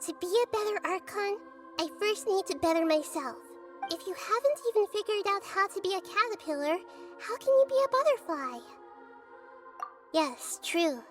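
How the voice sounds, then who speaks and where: A woman voicing a gentle, wise, childlike goddess in a video game, soft and earnest in a thoughtful, measured way. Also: extremely high pitch. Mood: reflective. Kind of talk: video game cutscene voice acting.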